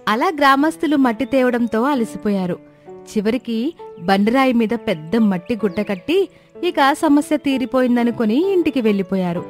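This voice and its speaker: Woman storyteller, raised pitch, scripted folk-tale narration, music playing behind. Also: animated, moderate pace.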